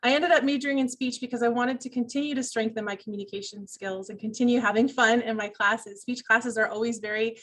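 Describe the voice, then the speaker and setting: A young adult woman, a speech professor, giving an upbeat, clear presentation over a video call. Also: on the high side, steady tone, fast talker, few pauses, a bit bright, a little hiss or hum.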